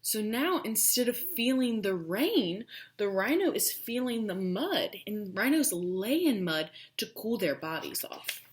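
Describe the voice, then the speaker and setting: Expressive, slow-paced woman reading a storybook. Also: medium pitch. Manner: expressive, emphatic, thinking aloud. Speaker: early childhood teacher. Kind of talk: children's storybook read-aloud with questions.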